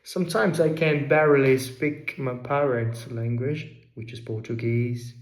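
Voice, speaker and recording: A man's voice: medium pitch, fairly even intonation, measured pace, roomy sound. A man with a Derbyshire accent telling a personal story in an earnest, brooding first-person voice. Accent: Derbyshire English.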